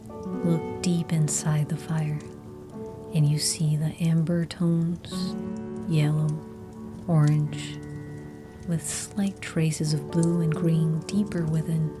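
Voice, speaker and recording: A woman narrating a scripted guided meditation in a soothing, hushed, soft and breathy manner. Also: deep voice. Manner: soothing, slow, hushed delivery. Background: meditation music.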